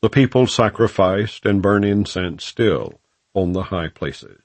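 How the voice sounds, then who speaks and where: Low-voiced man solemnly reading scripture. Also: no echo. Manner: solemn, measured, formal phrasing. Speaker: audiobook narrator. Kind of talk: scripted scripture narration, non-dramatized audiobook reading.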